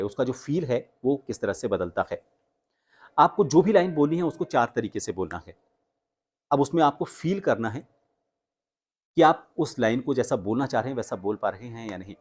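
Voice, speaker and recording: A man speaking as a voice coach, explaining techniques in an instructional way with deliberate emphasis on key words. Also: mid-range voice, very animated, a little mellow, slightly rough. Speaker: voice coach and host. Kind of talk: instructional tutorial with spoken demonstrations.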